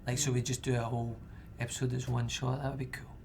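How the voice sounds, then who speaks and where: A young man talks easily in a casual roundtable chat. His voice sits at a middle pitch. He rambles a little, with lots of fillers. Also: fast talker, creaky, slight background noise. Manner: chatty, relaxed, many fillers. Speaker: actor guest.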